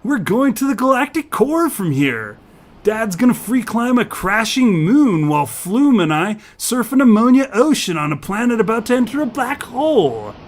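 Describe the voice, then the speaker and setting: An excitable young surfer dude from space in an audio fiction story. The voice sits high and swings widely, with drawn-out emphasis and exclamatory slang. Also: male voice, exaggerated voice. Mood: proud, cocky. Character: excitable young alien surfer dude, slug in human guise. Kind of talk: audio fiction character acting.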